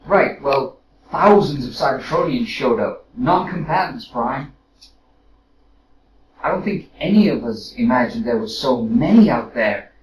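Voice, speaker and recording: An actor playing a casual, flustered robot soldier in an audio drama, hesitant and rambling, recorded roomily from a distance. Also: male voice, medium pitch, animated, unhurried, natural voice. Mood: exasperated. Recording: roomy, distant mic with noise.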